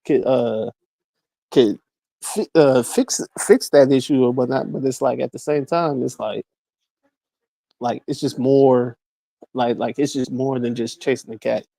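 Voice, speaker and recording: Man, mid-forties, gravelly mellow voice, halting casual talk, dry close sound. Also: mid-range voice, fairly even intonation, dry recording. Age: 40s. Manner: halting, many "like" and repeated words. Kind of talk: casual chat.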